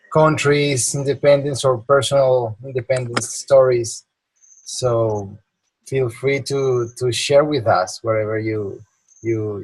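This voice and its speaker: A man moderating an informal online meeting, halting and often repeating his words. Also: mid-range voice. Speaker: meeting topic master, moderator. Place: online video call. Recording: noticeable background noise, clicks.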